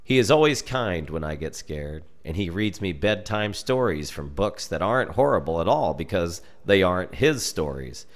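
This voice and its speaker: American man, low pitch, expressive storyteller, scripted narration, measured dramatic delivery. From United States. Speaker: storyteller reading a story aloud. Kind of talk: expressive scripted narration of a humorous dark tale.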